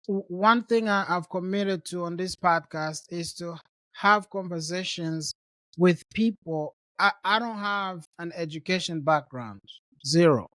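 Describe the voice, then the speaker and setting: A man's voice: sits high, fairly bright tone, no room sound. A man from Rwanda hosting a podcast, talking in a friendly, casual way and often repeating words as he thinks. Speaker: podcast host. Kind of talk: conversational interview.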